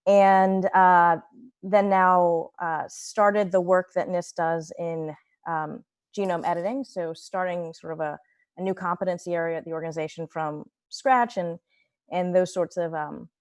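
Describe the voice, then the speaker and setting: A woman's voice: on the low side, steady tone, a little slow, no echo, studio quality. A woman scientist reflecting thoughtfully as a panelist over a video call, with many fillers and restarts.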